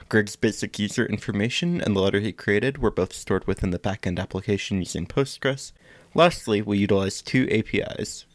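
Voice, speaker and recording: A man's voice: lowish voice, dry recording. A man reading a scripted technical presentation about a project.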